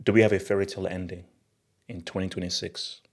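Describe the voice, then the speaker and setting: A man's voice: sits low, flat delivery, fast talker. A man hosting a football channel, talking to the audience in an emphatic, theatrical way and repeating phrases for effect. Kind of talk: animated solo football commentary to camera.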